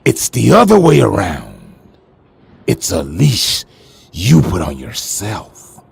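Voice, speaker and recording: An actor playing a smug, menacing crime lord with a theatrical, condescending, taunting delivery. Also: male voice, lowish voice, creaky, darkish tone, breathy edge, no echo, heavily acted.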